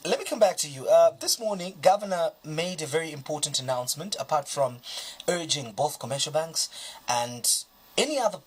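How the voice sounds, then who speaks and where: A man's voice: a little high, lively intonation, a little fast, a little hiss or hum. A man with a Zambian accent hosting a TV panel, conversational, passing questions to his guests. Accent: Zambian English. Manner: conversational, hands turns to guests. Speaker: TV show host. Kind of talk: moderating a panel.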